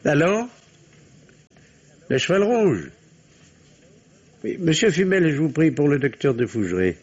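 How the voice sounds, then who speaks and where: A man's voice: on the high side, big pitch swings, unhurried, slightly rough, exaggerated voice. An actor playing an anxious, evasive middle-aged family doctor and father in an old-style radio drama, hesitant and theatrical. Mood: curious, flustered. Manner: hesitant, theatrical, repeats phrases reassuringly. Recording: muffled old radio recording.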